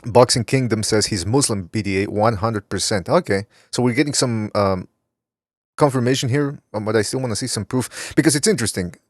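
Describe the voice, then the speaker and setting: A man with a fairly low voice hosts a loose, rambling podcast and keeps reading listener comments from the chat room aloud. His voice sounds close and dry, with no room behind it. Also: a little fast, no room sound. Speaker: podcast host. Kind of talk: casual talk show chat.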